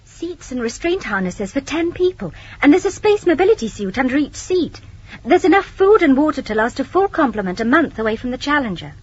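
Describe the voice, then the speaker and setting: High, animated young crewwoman. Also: a little fast. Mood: eager, brisk. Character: eager, earnest young female spaceship crew member. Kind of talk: 1980s radio drama acting. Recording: dull old radio recording.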